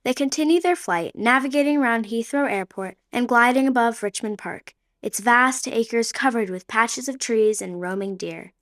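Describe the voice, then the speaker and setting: A woman's voice: a little high, normal speed, hardly any pauses, fairly bright tone. Woman narrating a radio play. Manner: clear, descriptive storytelling. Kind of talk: scripted narration.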